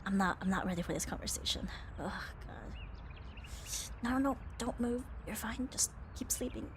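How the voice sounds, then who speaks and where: A woman's voice: medium pitch, moderate pace, noisy background, natural voice. A woman playing a young tomboy roommate, affectionate but flustered, in an intimate ASMR romance roleplay with a hesitant, teasing delivery. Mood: hushed, panicked. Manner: hesitant, teasing, breathy sighs and nervous laughs.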